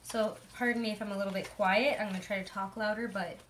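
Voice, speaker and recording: Young woman a bit hoarse, rambly chatty tarot reader, faint hiss behind. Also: medium pitch, steady tone, fast, a bit bright. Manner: chatty, rambling, many fillers. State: hoarse from illness. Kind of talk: casual tarot reading monologue.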